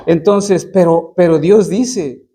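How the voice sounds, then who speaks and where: A man with a fairly high voice preaches a sermon. He reads scripture slowly and breaks it down in plain speech. His delivery is halting, full of repeated words and restarts. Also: warm tone. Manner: reads verses slowly, then explains in plain talk. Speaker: Baptist preacher.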